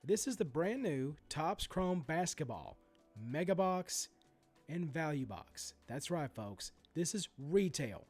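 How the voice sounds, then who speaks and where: A man's voice: on the high side, a little mellow. A middle-aged man with a sore throat and stuffy nose, ranting indignantly as host of a sports card channel. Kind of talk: ranting commentary. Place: home room.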